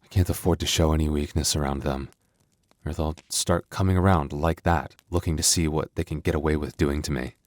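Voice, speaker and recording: A man's voice: a bass voice, fairly even intonation, fairly quick, a little mellow, dry recording. A man playing a young werewolf boyfriend, tender but protective with a tough edge, in an intimate ASMR roleplay. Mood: troubled, confiding.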